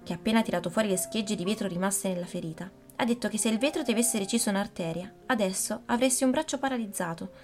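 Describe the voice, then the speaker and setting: A woman's voice: medium pitch, close to an everyday voice. A woman voicing a worried, firm mother who scolds and soothes her daughter, in a storybook reading.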